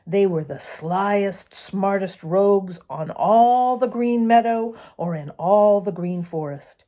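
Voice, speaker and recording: A woman reading a children's story aloud in an expressive, deliberate storytelling manner with varied emphasis. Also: medium pitch.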